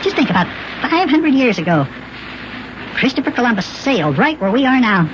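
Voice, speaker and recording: A man's voice: extremely high pitch, very animated, a little fast, voice pushed up. A man voicing an eager, wide-eyed little boy elf in a children's radio play, chirpy and curious, with a sped-up voice. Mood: curious, amazed. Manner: chirpy, curious, wonderstruck chatter. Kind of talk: old-time children's radio acting. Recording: muffled, noisy old radio recording.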